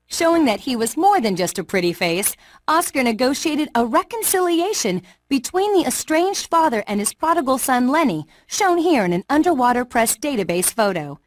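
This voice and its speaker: High, lively news anchor. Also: female voice, no room sound. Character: polished, chirpy female fish TV news anchor. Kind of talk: newsreader parody in a video game.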